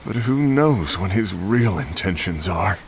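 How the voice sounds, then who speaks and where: A man's voice: deep voice, lively intonation, a little slow, a little hiss or hum. A man playing a proud, bitter warrior in a dramatic video game cutscene, brooding and emphatic. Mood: wry, resentful. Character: gruff, proud, bitter male warrior and comrade. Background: music.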